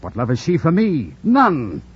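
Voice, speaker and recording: A man playing a brooding, commanding, passionate gentleman in an old-time radio drama, with theatrical, imperious delivery and a husky voice. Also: fairly high pitch, huge pitch swings, unhurried, exaggerated voice. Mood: forceful. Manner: theatrical, imperious, swinging from hushed to forceful. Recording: dull, lo-fi old radio recording.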